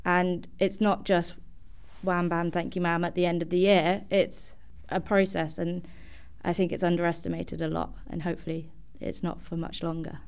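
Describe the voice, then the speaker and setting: A woman's voice: on the low side, level tone, normal speed, vocal fry, darkish tone, clear, resonant tone. A young adult woman, a student guest, giving earnest conversational answers in a podcast interview.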